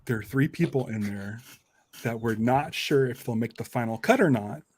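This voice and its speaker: A man's voice: medium pitch, normal speed, a little mellow, slightly rough. A man hosting a casual podcast, talking in a rambling way and often repeating and restarting his phrases. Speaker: podcast host and documentary maker.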